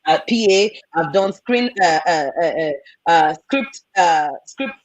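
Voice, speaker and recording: A woman with a Nigerian accent, a film and TV producer, telling animated stories as a guest on a video call. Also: lowish voice, unhurried, no room sound, rough recording. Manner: animated, repeats words for emphasis. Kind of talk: candid storytelling in a conversation.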